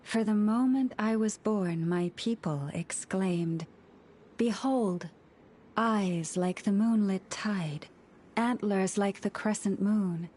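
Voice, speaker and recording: Slow, solemn moon priestess played by an actress. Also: female voice, mid-range voice, darkish tone, a little hiss or hum, close to an everyday voice. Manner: measured, poetic, formal. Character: serene antlered moon priestess, solemn and gentle young woman. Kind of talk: scripted video game dialogue.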